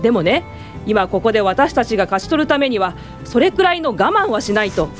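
A woman's voice: fairly high pitch, big pitch swings, a little fast, soft background music. A woman acting in a Japanese radio drama as a shrewd, confident suffragist, persuasive and theatrical in delivery.

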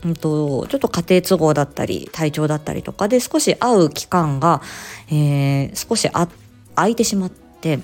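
A woman speech therapist telling stories in a casual, expressive solo chat, acting out short quotes as she explains. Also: low voice. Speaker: speech-language therapist hosting an audio diary.

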